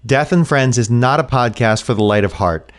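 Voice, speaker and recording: A man's voice: mid-range voice, normal speed. A man speaking in a comedic podcast skit, in an offhand way.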